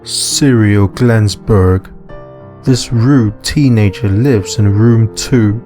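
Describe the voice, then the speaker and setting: A man playing a big, earnest, suspicious man in a visual novel, speaking in a deliberate, questioning way. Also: fairly low pitch, warm tone, faint music behind. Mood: pondering.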